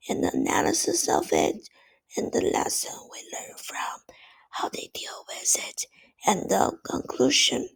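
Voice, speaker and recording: A woman giving a class presentation in a hoarse, nearly lost voice from a cold, hesitant with many fillers. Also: on the low side, poor audio. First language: Chinese. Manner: mostly whispered, hesitant with fillers. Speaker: student presenter.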